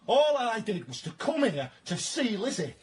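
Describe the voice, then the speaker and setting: A man's voice: sits high, sing-song, moderate pace, a little mellow, slight background noise. An actor playing a hot-headed working-class man with a Northern English accent, blunt and protesting, in a radio drama. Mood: aggrieved.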